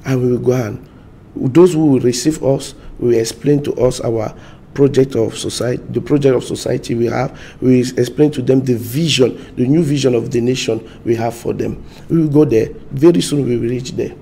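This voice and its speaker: Gravelly guy stumbling thru english answers, dark low tone. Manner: halting, repeats words, searches for English. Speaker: party spokesman. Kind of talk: studio interview answers.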